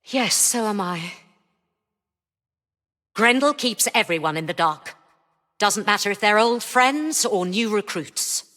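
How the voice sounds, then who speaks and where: Imperious female starship commander, video game character, cynical, dismissive, unhurried delivery, bright cutting voice, long hall reverb. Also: mid-range voice, lively intonation. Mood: wry, guarded. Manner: imperious, dismissive, dryly sardonic. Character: haughty, cynical, commanding spaceship mistress and rogue trader. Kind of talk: scripted video game voice lines.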